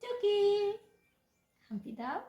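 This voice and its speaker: A woman's voice: very high voice, sing-song, takes it slowly, mellow, dull audio. A young woman, a mother, chatting playfully to her small child in coaxing, sing-song baby talk. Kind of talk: casual playful chat with a toddler. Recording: echoey room with music behind.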